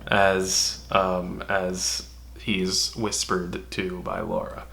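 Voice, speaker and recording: A man's voice: sits low, monotone, extremely slow, vocal fry, pushed and bright. A man co-hosting a casual analytical fan discussion, explaining his ideas with emphasis. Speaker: co-host, fan commentator.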